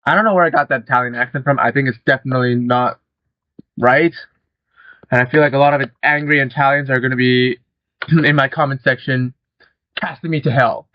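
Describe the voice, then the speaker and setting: A young man giving relaxed, rambling gameplay commentary, swearing casually as he talks. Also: medium pitch, brisk, pauses often, no room sound, muffled recording. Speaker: gaming channel host.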